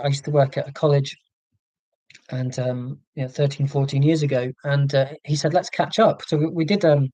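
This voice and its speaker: Halting man with a monotonous voice. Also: fairly high pitch, no echo, rough recording. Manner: rambling, halting, many ums and restarts, reads chat comments aloud; self-described monotonous voice. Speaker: YouTube live streamer reading chat. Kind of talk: casual live stream chat.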